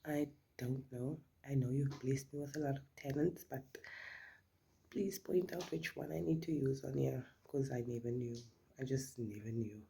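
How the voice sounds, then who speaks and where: A middle-aged woman with a South African accent, her first language Afrikaans, talks through her makeup in a relaxed chit-chat. Her voice is gravelly and a little husky. She often drops her volume to a near whisper. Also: rumbling low, moderate pace. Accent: South African English. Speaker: beauty vlogger.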